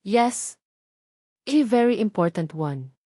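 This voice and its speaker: A woman voicing an earnest, protective billionaire man in a storybook romance, speaking softly and reassuringly. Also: mid-range voice, animated, takes it slowly, dry recording, clean studio sound. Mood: brisk. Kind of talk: storybook character voice.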